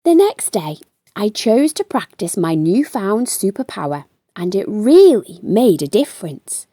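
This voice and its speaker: Woman reading kids book out loud, slow n very expressive, dry close sound. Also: medium pitch, no echo. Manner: animated, deliberate storytelling with drawn-out emphasis. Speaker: storytime host reading a children's book aloud. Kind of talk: expressive children's read-aloud narration.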